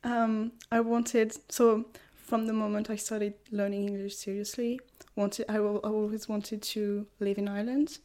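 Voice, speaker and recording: Young french woman in her twenties, french accent, lots of ums and restarts, middle pitch. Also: flat delivery, moderate pace, few pauses, warm tone. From France. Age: 20s. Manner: hesitant, many fillers and restarts. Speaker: guest, English language student. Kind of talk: casual podcast conversation.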